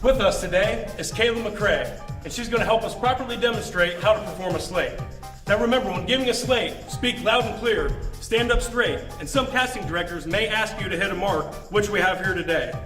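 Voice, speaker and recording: A man's voice: sits high, a little fast, over music. A man presenting scripted instructional tips on acting.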